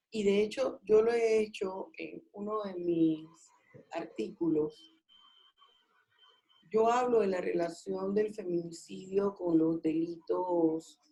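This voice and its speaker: Female law lecturer, very unhurried delivery, didactic, leading an online class. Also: medium pitch, mellow, low-quality recording. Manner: didactic, questioning students. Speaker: law professor. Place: video call.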